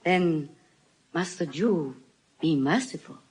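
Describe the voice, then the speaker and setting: Eloquent young lawyer, very slow, expressive. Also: female voice, sits low, slightly rough, full character voice, low-quality recording. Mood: formal, probing. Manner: measured, earnest, dropping to hushed emphasis. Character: young lawyer, a disguised woman, eloquent, solemn, merciful. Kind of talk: classical stage acting in audio Shakespeare.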